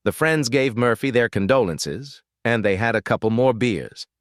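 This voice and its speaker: Man, joke teller, animated pitch, dry recording, mid voice. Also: no room sound. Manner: lively storytelling, quick shifts into character voices. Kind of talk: reading jokes aloud.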